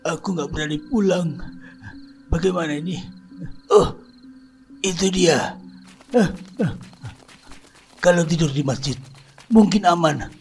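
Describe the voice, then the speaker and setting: A man playing a frightened, bewildered fellow in an animated horror story. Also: fairly high pitch, slow, gravelly, pauses often, faint music behind. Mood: panicked.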